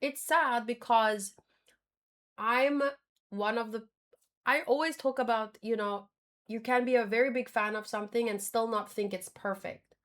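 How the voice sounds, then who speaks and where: A woman with a slightly high voice chats as a streamer sharing her reactions. Her delivery is informal and unscripted, often hesitating and rephrasing mid-thought. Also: a little slow, a bit bright. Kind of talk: casual livestream commentary.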